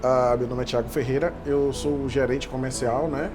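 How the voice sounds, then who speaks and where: A man's voice: medium pitch, steady tone, moderate pace. A man giving an informal personal account as an interview guest, stumbling and repeating words. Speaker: interview guest, sales manager. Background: background music.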